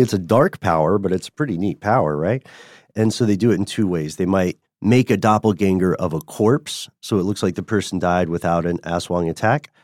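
A man co-hosting a podcast, telling a story in a casual, animated way and often checking in with "right?". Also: no echo, clean studio sound. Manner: animated, rising pitch when excited, frequent "right?" check-ins. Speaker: podcast co-host.

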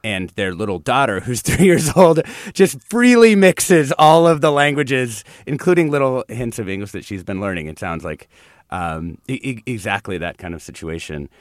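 A man's voice: medium pitch, animated, moderate pace, dry recording. A man hosting a casual interview, asking long, winding questions with restarts. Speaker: podcast host.

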